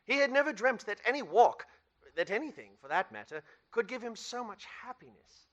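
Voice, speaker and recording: A man's voice: very high voice, sing-song, a little fast, fairly bright tone, dull audio, heavily acted. A man playing the narrator of a Christmas radio play, telling the tale with emphatic theatrical delivery. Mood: merry, storytelling.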